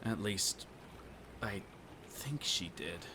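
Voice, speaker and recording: A man's voice: fairly low pitch, expressive, extremely slow, bright, forceful tone, husky, voice pushed down, heavily acted. Man playing a subdued, hesitant young stranger. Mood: wry, uncertain. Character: injured young male stranger with amnesia, polite, subdued. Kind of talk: audio roleplay acting.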